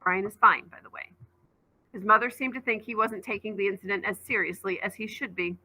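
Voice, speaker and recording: A concerned dad from a children's storybook, played by a woman reading aloud. He is exasperated yet gentle, scolding mildly with wry fondness in a bright, mid-pitched voice. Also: steady tone, fairly quick, slightly rough, rough recording. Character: concerned, exasperated but gentle father of a young girl.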